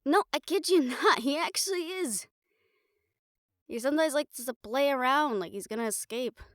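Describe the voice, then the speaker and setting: A woman playing a caring, blunt, teasing young girlfriend in an improvised audio roleplay, chatting casually and haltingly to the listener. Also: high voice, no echo, clean studio sound, lightly acted. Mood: playful, blunt. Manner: casual, halting, playful swearing, affectionate teasing.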